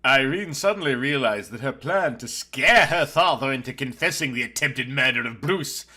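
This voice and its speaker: A man narrates a dramatic story in a bright, forceful voice. His pitch swings widely as he reads scripted prose, with a slightly rough, husky texture. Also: lively intonation, moderate pace. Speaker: narrator reading a novel chapter.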